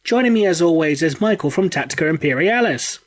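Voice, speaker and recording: A man's voice: fairly high pitch, lively intonation, a little fast. Congested, jokey male podcast host. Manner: jokey, laughs often. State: congested from a cold. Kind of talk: casual chat.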